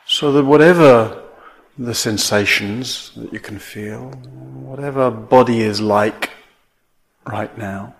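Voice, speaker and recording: Slow-speaking man guiding meditation. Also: medium pitch, creaky. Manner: measured, with long pauses between phrases. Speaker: meditation teacher. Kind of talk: guided meditation.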